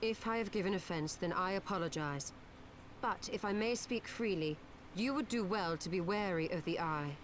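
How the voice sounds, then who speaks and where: An actress voicing an earnest, grave woman in a fantasy game, speaking in formal, measured lines. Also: fairly low pitch, lightly acted. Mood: grave warning. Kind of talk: dramatic game voice acting. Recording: faintly noisy game stream capture.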